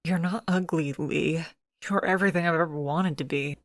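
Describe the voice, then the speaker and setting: A woman's voice: low-pitched, steady tone, normal speed, no echo. A woman plays a young transfeminine friend in a visual novel story, doubting and anxious. The delivery is earnest with a sarcastic, whiny edge, recorded very dry. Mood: sincere.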